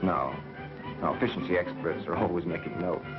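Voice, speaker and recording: A self-assured actor as a male engineer-sleuth lays things out calmly and matter-of-factly in a fairly low voice. The delivery is vintage 1930s mystery film, on a muffled soundtrack with faint music. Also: fairly quick, lightly acted. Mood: guarded. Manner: matter-of-fact, explanatory. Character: calm, self-assured radio engineer playing amateur detective.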